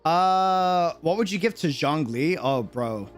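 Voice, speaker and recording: A man's voice: on the high side, lively intonation, slow. A man chatting casually and musingly on a game livestream in slangy speech, with game music behind him. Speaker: game streamer.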